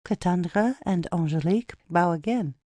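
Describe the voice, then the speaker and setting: A woman's voice: on the low side, normal speed, dark tone, dry recording. A woman narrator reading out stage directions as scripted narration for an audio play.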